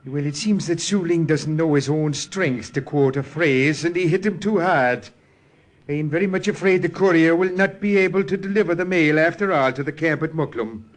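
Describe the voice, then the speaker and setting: An actor playing a calm, kindly and wry Irish priest in an old radio drama. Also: male voice, a little high, normal speed, lightly acted. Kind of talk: 1950s radio drama acting.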